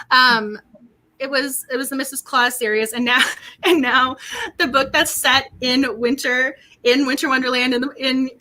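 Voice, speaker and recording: A woman hosting a book club livestream in casual chat, excitable and quick to laugh, her voice getting worn. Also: high voice. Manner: excitable, laughs often, repeats words.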